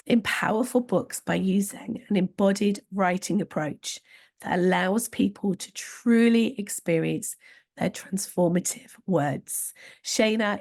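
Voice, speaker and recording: Gravelly-voiced woman podcast host. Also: mid-range voice, a little mellow. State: slightly squeaky voice. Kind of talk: podcast hosting.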